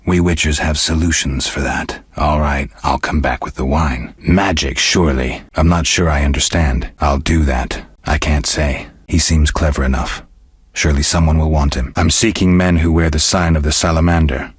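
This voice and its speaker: A man playing a stoic, world-weary middle-aged monster hunter in a video game, speaking in a gravelly, husky voice with dry, laconic restraint. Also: rumbling low, fairly even intonation, moderate pace, no echo, full character voice. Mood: dry, calm. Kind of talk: scripted video game character dialogue.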